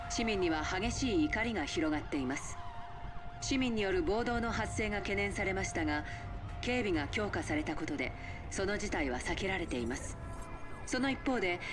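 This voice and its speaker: An actress playing a serious TV news reporter, reading scripted bulletins in a formal, clearly enunciated way for a game. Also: female voice, on the low side, close to an everyday voice. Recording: loud music over the voice.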